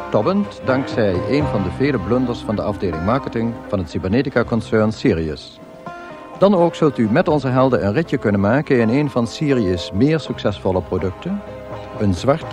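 A man giving scripted narration for a radio series. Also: mid-range voice. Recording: music behind the voice.

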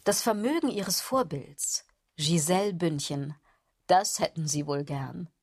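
An actress playing a dry, mocking woman commentator in a literary radio drama, speaking in a husky voice with deliberate, pointed phrasing. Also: low voice, slight background noise. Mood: pointed, sardonic. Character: dry, mocking female commentator goading the listener.

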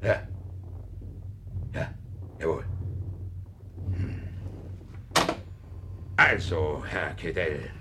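An actor playing a vindictive, blustering local Nazi farmers' leader in a 1950s German radio play, accusing and barking orders. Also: male voice, a bass voice, very slow, long pauses, very noisy, full character voice. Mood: threatening.

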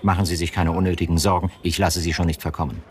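An actor playing a manipulative, domineering police chief in a German radio drama, speaking in an insistent, measured and cutting way. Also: male voice, low voice, little pitch movement, close to an everyday voice. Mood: cold, insistent. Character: cold, coercive male police chief, manipulative.

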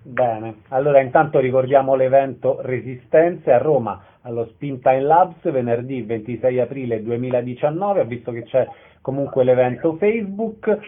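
A man's voice: mid-range voice, normal speed. A man hosting a conversational radio interview and putting questions to his guests. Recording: narrow, phone-like radio sound.

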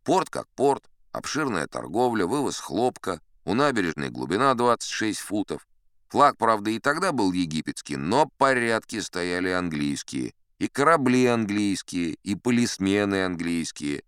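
An actor playing a seasoned, self-assured sea captain who tells tall tales in a wry, conversational way, as theatrical audio drama narration. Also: male voice, lowish voice, very animated, normal speed, fairly bright tone, clear, resonant tone, no room sound, studio quality. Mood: wry, reflective. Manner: conversational, wry raconteur with rhetorical asides. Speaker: audiobook performer in character as storyteller.

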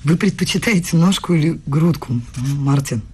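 A husky young woman, a clever student in an intimate radio play. She is coy and quick-witted, teasing with formal politeness. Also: low-pitched, a little mellow. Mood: coy, playful. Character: young female student, clever, coy, bold in secret love. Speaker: radio drama actress.

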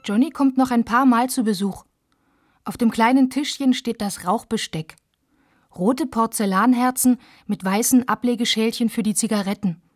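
A woman gives first-person radio narration in plain, childlike sentences. Her voice sits fairly high, in a dry studio. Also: moderate pace, no echo, faint music behind.

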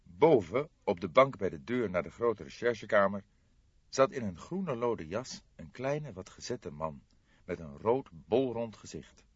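Low, lively male audiobook narrator. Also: measured pace, breathy edge, no echo, highs cut off. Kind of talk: scripted story narration.

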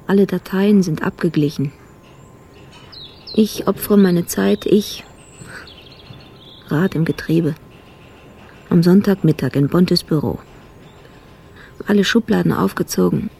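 An actress playing a weary, exasperated police investigator in a radio drama, speaking in terse, clipped sentences. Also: female voice, on the low side, unhurried, creaky, pauses often, mellow, a little hiss or hum. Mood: weary, desperate. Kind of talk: radio drama monologue and dialogue.

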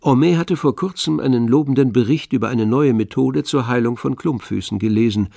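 A man's voice: mid-range voice, fairly quick, gravelly, dark tone, no room sound, clean studio sound. A man narrating a literary radio play from a script. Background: faint instrumental music.

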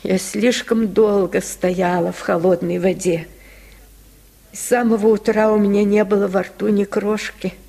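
A male reader plays a poor, ailing washerwoman in a radio storybook. The voice is raised in pitch, weak and plaintive. Words come slowly and falteringly, full of sorrow and gentle weariness. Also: flat delivery, creaky, full character voice. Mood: faint, distressed. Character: sick, weary, grieving poor washerwoman, gentle mother.

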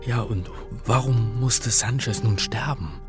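A man's voice: mid-range voice, slow, over music, lightly acted. An actor playing an anxious, bewildered man caught in a mystery, hesitant and stammering, in a radio drama. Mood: baffled. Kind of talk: German radio drama acting.

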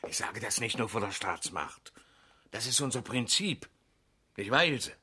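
An actor playing a defensive, righteous publican in a radio crime drama, emphatic and clipped. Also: male voice, fairly low pitch, expressive, a little slow, background noise.

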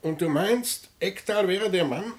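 A man's voice: high-pitched, unhurried. A man playing a stern, commanding older father in a German radio play, formal and imperious in manner. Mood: cold, stern.

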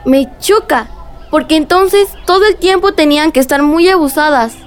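A woman's voice: high-pitched, normal speed, faint music behind, lightly acted. A woman playing a curious, outspoken young girl in a radio drama. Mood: curious, exclaiming.

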